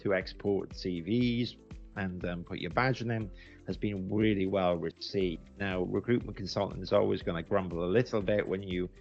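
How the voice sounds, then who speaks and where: A British man with a deep, gravelly voice talks through his experience in a casual, unscripted way. He explains conversationally, doubling up words for emphasis. Faint music plays behind him. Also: fairly even intonation, normal speed, muffled recording. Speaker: recruitment agency founder giving a software review.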